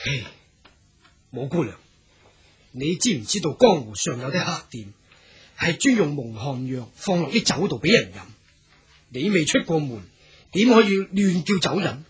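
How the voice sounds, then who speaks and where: A man's voice: on the high side, big pitch swings, normal speed, long pauses, lo-fi sound. A man playing a wary, upright, protective hero in a historical radio drama, earnest and cautious, with a Hong Kong Cantonese accent. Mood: suspicious. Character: wary, upright, protective male swordsman hero travelling incognito.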